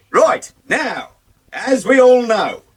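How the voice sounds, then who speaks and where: High-voiced formal speechmaker. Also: male voice, sing-song, extremely slow, a bit bright, slightly rough, full character voice. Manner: formal, projected. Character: formal village speechmaker calling a noisy pub to order. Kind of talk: 1980s radio soap acting.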